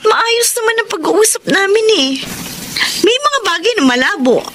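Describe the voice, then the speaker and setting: A worried young Filipino woman with a high voice in a radio drama. She sounds anxious and pleading on a noisy recording. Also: big pitch swings, moderate pace, fairly bright tone, full character voice.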